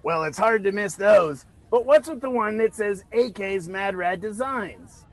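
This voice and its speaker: A man voicing an eager, cute creature who co-hosts a comedic radio broadcast in an animated manner. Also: high-pitched, unhurried, dry recording. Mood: bright, curious.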